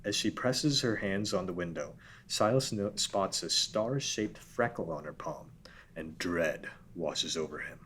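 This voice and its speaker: A man reading the scene directions of an animated pilot script at a table read. His voice is very low, and his delivery is steady and matter-of-fact. A faint background hiss runs under him. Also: unhurried. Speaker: narrator reading stage directions.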